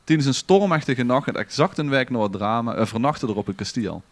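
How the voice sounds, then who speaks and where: A man's voice: medium pitch, animated, fast talker, no echo. A man with a Limburgish Dutch accent reading a scripted story aloud in a theatrical, literary manner as a podcast storyteller. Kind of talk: dramatic storytelling read from a script.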